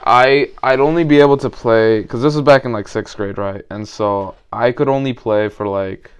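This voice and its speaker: A man's voice: mid-range voice, clean tone. A young man co-hosting a casual podcast, telling long rambling stories full of fillers. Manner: rambling, drawn-out storytelling with many fillers. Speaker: podcast co-host. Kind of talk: casual chat.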